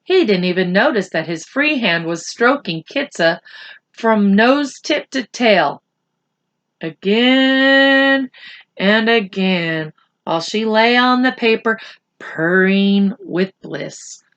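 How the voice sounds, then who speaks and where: Woman, children's storyteller, bright voice, lively intonation, deliberate, teacherly. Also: medium pitch, dull audio. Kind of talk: expressive read-aloud storytelling with asides to children.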